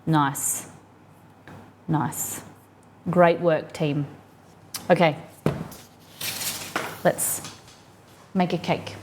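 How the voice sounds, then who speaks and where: A woman's voice: sits low, fairly even intonation, drawn-out words, pauses often, noisy background. A woman hosting a live stream, chatting casually and reading trivia clues aloud to her viewers while baking in her kitchen. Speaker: streamer host.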